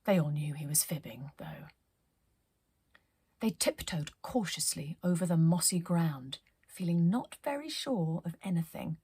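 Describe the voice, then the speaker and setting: Animated woman reading a children's story. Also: on the low side, measured pace, husky, no room sound. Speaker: storytime host and narrator.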